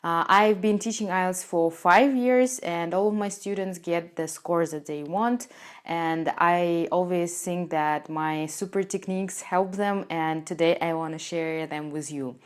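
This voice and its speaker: A woman's voice: fairly low pitch, a little slow, clear, resonant tone. A woman in her twenties whose first language is Russian, giving earnest step-by-step study tips to camera, with frequent "uh" fillers, recorded on a lapel mic in a small room. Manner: earnest, explains step by step with non-native phrasing and frequent "uh" fillers. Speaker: IELTS teacher and channel host. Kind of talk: casual to-camera tips video. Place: small indoor room with a door behind.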